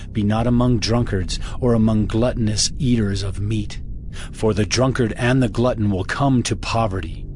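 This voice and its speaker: A man reading scripture as a dramatized audiobook, delivered as earnest, fatherly counsel. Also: medium pitch. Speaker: scripture narrator.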